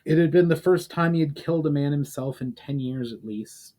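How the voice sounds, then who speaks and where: A man's voice: fairly high pitch, brisk, mellow. A man reading a fantasy story aloud as a dramatic narration. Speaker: author reading own fiction aloud.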